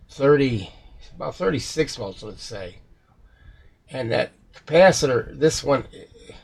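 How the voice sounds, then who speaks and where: Male repair technician, heavy fry and a husky edge, unscripted and rambling, thinking aloud. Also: medium pitch. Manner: rambling, hesitant, self-correcting. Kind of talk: casual unscripted repair walkthrough.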